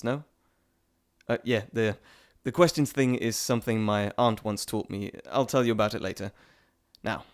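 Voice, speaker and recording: A man's voice: medium pitch, natural voice. A man playing a playful, cheeky messenger god in a dramatic roleplay monologue, chatty and theatrical, talking straight to the listener. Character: playful, teasing male Greek messenger god, cheeky trickster.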